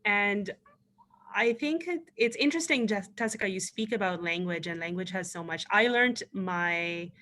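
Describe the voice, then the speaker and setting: A woman from Pakistan whose first language is Urdu, speaking on a panel in a lively, reflective way. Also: medium pitch, normal speed. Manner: animated, reflective, with fillers. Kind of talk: panel discussion over a video call.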